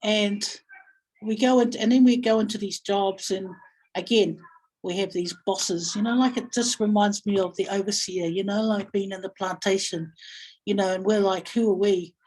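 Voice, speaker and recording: Husky, reflective New woman. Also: mid-range voice, steady tone, a little mellow, low-quality recording. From Samoa or Samoan community in New Zealand. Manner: halting, thinking aloud, repeats phrases. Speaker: guest speaker, family violence practitioner. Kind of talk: reflective webinar talk, storytelling.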